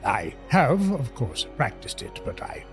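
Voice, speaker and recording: An uneasy old wizard, paternal yet evasive. He talks very slowly with lively swings of pitch in a fantasy audio drama, over faint music. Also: creaky, heavily acted.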